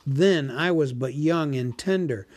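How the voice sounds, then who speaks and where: A man voicing a huge, boastful, menacing old dragon in a storybook reading, slow and gloating. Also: fairly high pitch, a little mellow.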